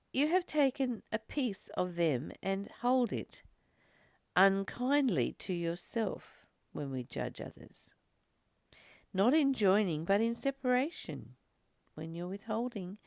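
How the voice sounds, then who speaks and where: Aussie woman doing a reflective spiritual talk, really animated pitch, close dry sound, chatty and emphatic. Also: slow pace, creaky, dry recording. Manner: expressive, conversational, emphatic repetition. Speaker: spiritual study host.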